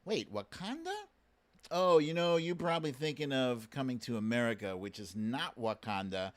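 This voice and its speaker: A man's voice: mid-range voice, normal speed. A man hosting a casual live quiz stream, bantering with viewers in an informal, mildly sweary, teasing way.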